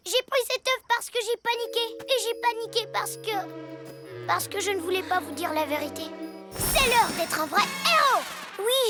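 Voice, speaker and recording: An actor voicing an eager, impulsive little boy superhero in a dubbed children's cartoon, earnest and excitable. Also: very high-pitched. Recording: voice over loud cartoon music.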